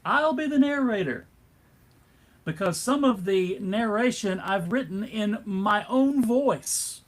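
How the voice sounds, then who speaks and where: Husky man narrating theatrically. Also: sits high, lively intonation, takes it slowly. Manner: theatrical, emphatic announcing. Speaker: panelist and narrator. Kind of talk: dramatic narration for a comic table read.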